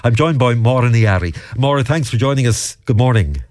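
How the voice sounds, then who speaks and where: A man with an Irish accent presenting local radio news and interviewing a guest, with a steady delivery and some fillers. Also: medium pitch, a little fast, no room sound. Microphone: studio microphone.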